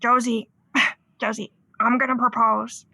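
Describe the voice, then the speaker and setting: A woman's voice: fairly high pitch, flat delivery, a little slow, vocal fry, sharp tone, no room sound, full character voice. A woman playing a bubbly, lovestruck youthful caller in an improvised comedy radio show, gushing in casual slang. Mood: excited, smitten.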